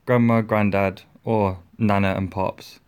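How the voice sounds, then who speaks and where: A man's voice: lowish voice, little pitch movement, measured pace, a little mellow, clear, resonant tone. Male, northern English accent, dry and laid-back, casual home chat. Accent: northern English, near Liverpool. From northern England. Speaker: guest in an accent comparison.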